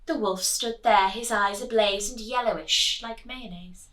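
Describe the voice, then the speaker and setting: Teacher lady reading a rhyming kids story, slow and bouncy, echoey room. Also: medium pitch, recorded from a distance. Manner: animated, sing-song rhyming delivery with asides to the children. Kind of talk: expressive storybook read-aloud for children.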